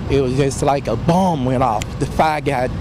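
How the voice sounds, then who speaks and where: Plain-spoken male interviewee, thirties, mid-pitched, outdoors on a handheld mic with clear traffic noise. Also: moderate pace. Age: 30s. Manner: plain-spoken, frequent "you know". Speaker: homeowner being interviewed. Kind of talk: unscripted news interview. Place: outdoors in a residential yard. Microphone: reporter's handheld microphone. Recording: noisy street with vehicle sounds.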